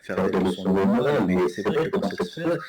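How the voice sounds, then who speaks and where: A man's voice: low voice, expressive, a little fast. A man hosting a live debate, speaking French as a second language, earnest and defensive about his interests. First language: not French. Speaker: stream host. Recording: echoey room with loud crowd noise.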